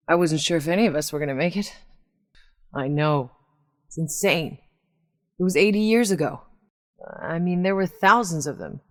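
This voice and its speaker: Actress doing a tough merc woman for a video game, low voice, wry n sardonic, super dry studio sound. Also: no room sound, close to an everyday voice. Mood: somber. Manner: wry, blunt, colloquial. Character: tough, sardonic woman mercenary on an alien colony. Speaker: video game protagonist voice actor. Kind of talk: scripted game dialogue.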